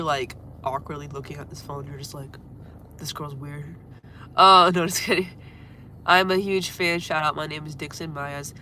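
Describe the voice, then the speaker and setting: Deep-voiced young woman with a cold. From Florida, USA. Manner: rambling, lots of fillers, trails off quietly. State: has a cold, congested and coughing. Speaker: musician livestreaming. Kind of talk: casual livestream chat with viewers. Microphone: phone held close.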